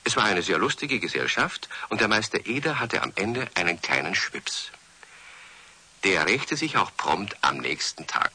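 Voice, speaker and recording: A man narrating a children's radio play as a dry, wry storyteller with a husky voice. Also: lowish voice, sing-song, moderate pace, pushed and bright, exaggerated voice. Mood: wry, explanatory.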